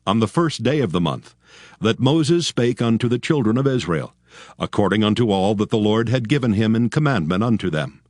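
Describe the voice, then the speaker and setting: A man narrating scripture from a script in a measured, formal manner. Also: on the low side, dry recording, clean studio sound.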